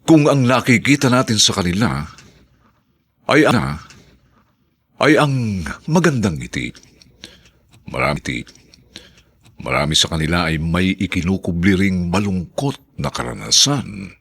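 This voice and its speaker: A man's voice: deep voice, big pitch swings, vocal fry, fairly bright tone, breathy edge. A Filipino man narrating and announcing a radio drama in a solemn, theatrical, emphatic style. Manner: theatrical, drawn-out emphatic phrasing.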